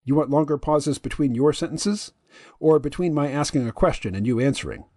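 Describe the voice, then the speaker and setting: A deep-voiced man hosting a podcast, reading his side of a dialogue in a measured, analytical way. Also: dark tone, dry recording, clean studio sound. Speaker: podcast host.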